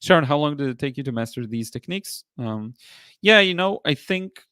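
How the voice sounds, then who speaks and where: A man in his thirties with an Israeli accent, hosting a painting livestream and answering viewer comments in a relaxed, halting way with many fillers. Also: on the high side, clean tone, dry recording, studio quality. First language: Hebrew. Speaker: watercolor artist and livestream host. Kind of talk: casual livestream chat reading comments.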